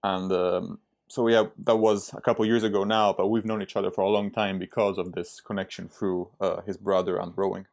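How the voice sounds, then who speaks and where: A man's voice: deep voice, flat delivery, a little fast, vocal fry, darkish tone. A young man from Italy, a startup founder speaking as a podcast guest in a casual, hesitant way with many fillers and restarts. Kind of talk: casual interview talk.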